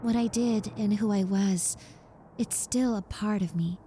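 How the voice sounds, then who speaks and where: A woman acts out a young recovering yandere in an intimate roleplay audio. Her voice is dark and relaxed at an average pitch for a woman. She rambles hesitantly, trips over her words and comes across as shy and apologetic. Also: a little slow, slight background noise, natural voice. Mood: reassuring, tender. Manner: hesitant, stammering, self-conscious, rambling. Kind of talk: roleplay audio acting, intimate one-sided conversation.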